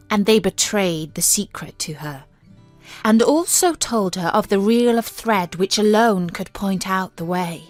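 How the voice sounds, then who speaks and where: A woman narrates a scripted fairy tale at a slow pace with music behind her. Her reading is measured and careful, in the manner of a storybook audiobook. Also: mid-range voice, no room sound. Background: background music.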